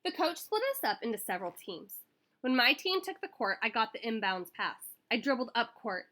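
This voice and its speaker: A woman reading a children's picture book aloud in an expressive, animated way that acts out the story. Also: on the high side, normal speed. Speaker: school staff member reading aloud.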